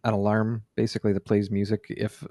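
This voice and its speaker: Male co-host, low voice, halting casual talk, dry sound. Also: completely flat delivery, warm tone, dry recording. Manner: hesitant, many fillers and restarts. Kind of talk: casual chat.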